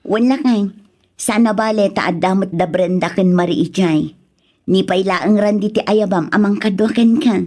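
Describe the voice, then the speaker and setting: Actress as evasive married woman, Ilocano accent, mellow husky voice, hesitant, pleading, radio drama. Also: mid-range voice, moderate pace, natural voice. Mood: coy, cautious. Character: evasive, guilty married woman, coy with lover, meek before father.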